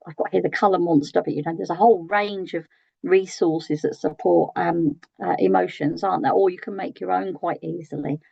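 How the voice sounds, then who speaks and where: A British woman with a croaky voice giving an early years training talk in a chatty way, with many tag questions and ums. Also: medium pitch, darkish tone, no room sound, highs cut off. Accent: British English. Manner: conversational, asks tag questions, frequent ums.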